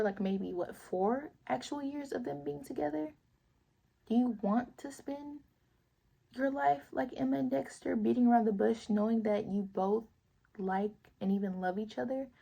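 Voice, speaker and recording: Young woman, raspy, gravelly fry, husky, earnest casual vlog talk. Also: mid-range voice, warm tone. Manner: earnest, emphatic, slangy. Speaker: vlogger.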